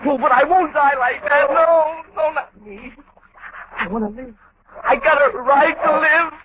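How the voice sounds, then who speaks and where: A man's voice: very high-pitched, measured pace, dull audio. An actor playing a meek, terrified man on the run, pleading and stammering in a 1940s radio drama. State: frightened, near tears. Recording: scratchy old radio recording, hiss.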